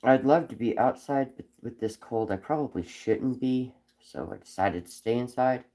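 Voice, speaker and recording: Man with a raspy sick voice. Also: mid-range voice, moderate pace. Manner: rambling, frequent ums, soft-spoken. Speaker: livestream host whittling wood. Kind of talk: casual live-stream chat while carving.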